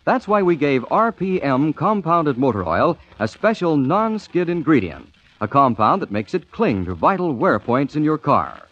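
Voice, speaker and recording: A man's voice: a little high, sing-song, moderate pace, a bit bright. A man announcing a sponsor's message in the style of a 1940s radio commercial. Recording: muffled 1940s radio recording.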